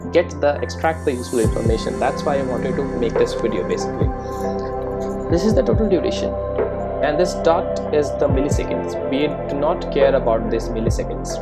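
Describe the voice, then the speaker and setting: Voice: medium pitch, animated, a little fast, loud music behind the voice. A programming instructor giving a casual step-by-step screen-recorded tutorial, often checking in with "right?" and "okay".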